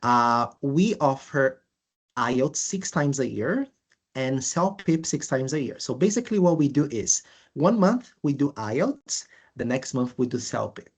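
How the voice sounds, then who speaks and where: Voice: mid-range voice, expressive, breathy edge. A presenter from Brazil with a Brazilian accent, walking through course details in a webinar, speaking with frequent uhs and restarts. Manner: halting, many filler uhs and restarts. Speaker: language school presenter.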